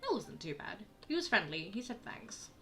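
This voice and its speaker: A woman with a mid-pitched, very lively voice comments on a game. She talks casually, as a gaming host reacting as she plays. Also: moderate pace.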